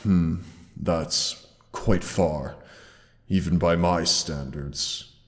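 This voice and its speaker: Man acting a deep, slow, caring dragon. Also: steady tone. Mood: resolute, protective. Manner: slow, formal, tender, with dramatic pauses. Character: gentle, caring old male dragon, fond and noble. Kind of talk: roleplay audio drama, scripted monologue. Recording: long cavernous reverb.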